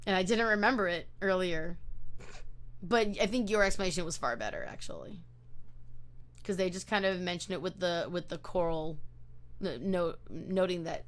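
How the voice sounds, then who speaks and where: A woman's voice: lowish voice, a bit bright. A woman hosting an online book club, talking in a rambling, chatty way with lots of fillers and restarts over a video call.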